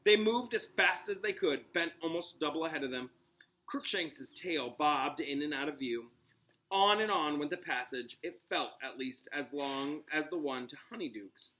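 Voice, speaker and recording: A man narrates a children's story like a teacher in front of a class. His voice sits a little high and is bright and forceful. The delivery is dramatic and clearly aimed at young listeners. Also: lively intonation, normal speed. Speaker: teacher reading aloud to a class. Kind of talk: expressive storybook read-aloud with asides.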